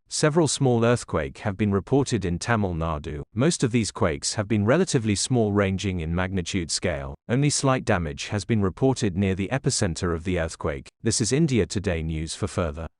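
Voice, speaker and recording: Male newsreader, fairly low voice, formal script, animated intonation, dry sound. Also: fairly quick, hardly any pauses, no echo. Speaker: news announcer. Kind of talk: scripted news bulletin.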